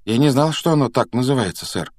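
Male narrator playing a boy, earnest, stubborn, boyish, higher pitch, storybook character. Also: expressive, quick pace, a little mellow, natural voice. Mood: hesitant. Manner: hesitant or heated, boyish. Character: stubborn, earnest young schoolboy longing for family.

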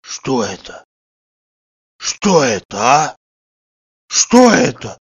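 A man voicing a greedy, abusive, aggrieved bully in an audiobook, ranting crudely and shouting. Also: fairly high pitch, lively intonation, normal speed, dull audio. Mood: stunned outrage. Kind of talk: dramatised audiobook dialogue.

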